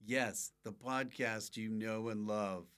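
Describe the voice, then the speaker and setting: A man hosting a podcast in casual comic chat, with a deadpan, offbeat manner. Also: lowish voice, slow pace, clear, resonant tone. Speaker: podcast host.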